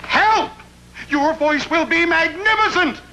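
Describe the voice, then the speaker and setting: An actor playing an older, theatrical uncle who loves opera and bursts into florid singing. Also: male voice, extremely high pitch, slow, sharp tone, slight background noise, exaggerated voice.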